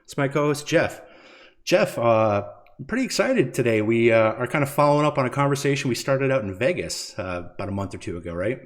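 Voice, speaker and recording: A man's voice: medium pitch, lively intonation, a little fast. A man co-hosting a podcast, friendly and laid-back, with many fillers. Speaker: podcast co-host. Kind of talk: casual podcast chat.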